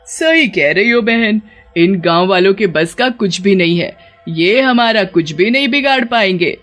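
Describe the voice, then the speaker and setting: A woman voicing a sly, hungry female witch in an animated story, gloating and taunting. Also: mid-range voice, measured pace, faint music behind. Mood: scornful, bold. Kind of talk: animated Hindi moral story.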